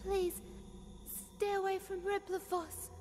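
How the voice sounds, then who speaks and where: A woman voicing a gentle young android girl in a game cutscene, pleading and breathless. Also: very high-pitched, flat delivery, slow pace. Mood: sad, tender. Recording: reverberant, noisy cutscene audio.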